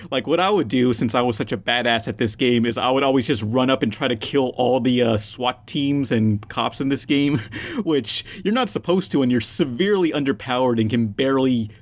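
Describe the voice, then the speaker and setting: A man's voice: mid-range voice, fairly quick. A man hosting a casual group podcast conversation. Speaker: podcast host.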